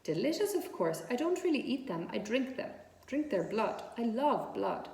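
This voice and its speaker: A woman's voice: on the high side, very animated, normal speed, creaky, a little mellow, reverberant, far from the mic. A woman voicing a poised, frank, proud female spider in a storybook, thin and pleasant, precise and matter-of-fact. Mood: brisk relish.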